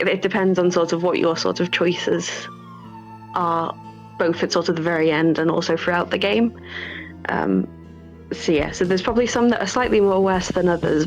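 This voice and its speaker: A woman talks informally about her creative work in an interview, working out her answers aloud. Her voice sits a little low with plenty of vocal fry. The sound is a bit dull, with soft music underneath. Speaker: game developer.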